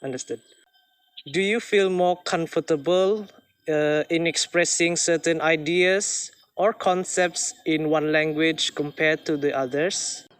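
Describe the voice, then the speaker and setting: A woman interviewing in a measured way, reading out prepared questions. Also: sits low.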